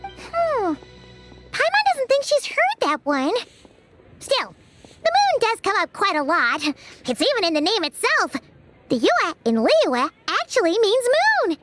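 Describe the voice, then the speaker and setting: A woman voicing a tiny, chirpy, excitable fairy sidekick in a video game, with a squeaky childlike voice. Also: a little slow, heavily acted. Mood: chatty, perky. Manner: refers to self in third person, perky. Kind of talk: video game character acting. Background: background music.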